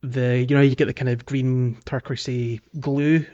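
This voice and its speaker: Male podcast co-host, mid-range voice, conversational and a little halting, thinking aloud as he talks. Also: medium pitch, little pitch movement, warm tone, dull audio. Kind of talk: casual video game podcast chat.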